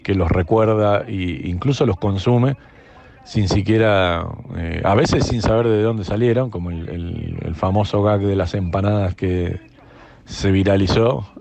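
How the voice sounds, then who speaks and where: Deep, gravelly Argentine man. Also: a little slow, a little mellow. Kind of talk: casual interview reminiscence. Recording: slightly dull, muffled audio.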